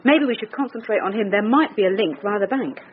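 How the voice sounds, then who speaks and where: A woman's voice: medium pitch, quick pace, narrow, tinny audio, a little hiss or hum, lightly acted. A woman with a British accent acting in a radio drama as a shrewd, probing, dry-witted police detective. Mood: musing, sceptical. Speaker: actor.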